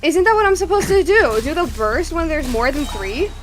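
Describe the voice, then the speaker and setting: A woman's voice: sits high, very animated, normal speed, soft background music. A woman streamer giving casual gameplay commentary, thinking aloud in repeated questions. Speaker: game streamer.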